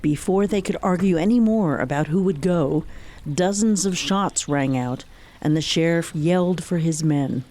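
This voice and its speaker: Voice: mid-range voice, normal speed, darkish tone, slight background noise. A narrator with an American accent reading scripted narration for a radio drama.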